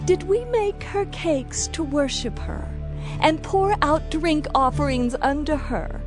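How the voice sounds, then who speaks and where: A woman's voice: high-pitched, takes it slowly, music in the background. A woman playing a defiant, complaining idol worshipper of ancient Judah, declaiming in a dramatized audio Bible. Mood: defiant, aggrieved.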